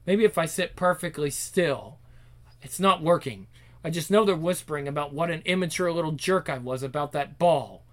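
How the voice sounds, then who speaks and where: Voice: medium pitch, lively intonation, normal speed, a bit bright, lightly acted. A performer voicing a troubled, sarcastic, self-conscious young teenage girl in a dramatic inner monologue for a story. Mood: anxious, mortified.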